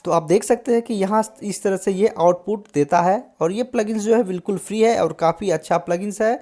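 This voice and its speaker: A man hosting a casual tutorial on music software, explaining step by step and often adding short check-in tags. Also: high voice, brisk. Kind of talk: casual screen-recorded tutorial.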